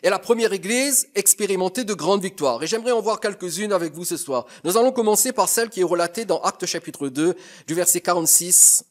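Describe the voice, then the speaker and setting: A male preacher with a notably high voice delivers a teaching sermon. He speaks earnestly and with emphasis, turning often to address his listeners directly. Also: animated, brisk. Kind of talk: sermon teaching over slides.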